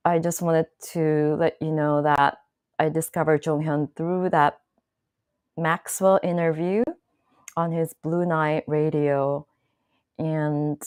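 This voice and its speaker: Slow, low-voiced woman talking to viewers. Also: smooth, dry recording, studio quality. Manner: slow, thoughtful, with many fillers and restarts. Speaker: channel host. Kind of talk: casual live-stream talk to viewers.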